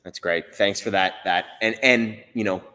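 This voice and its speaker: Enthusiastic low-voiced male host. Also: steady tone, normal speed, pushed and bright, in a large hall. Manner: enthusiastic, stumbling with repeats. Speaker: host, recruiter. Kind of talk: casual interview.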